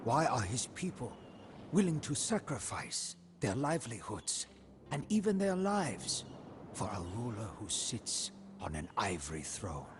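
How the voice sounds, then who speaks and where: Slow, dramatic royal guard commander. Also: male voice, medium pitch, huge pitch swings, noisy background. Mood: proud, solemn. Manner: solemn, dramatic, deliberate. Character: proud, devoted male commander of a royal guard. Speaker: narrator of a game campaign story. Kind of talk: scripted storybook narration in character. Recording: music behind the voice.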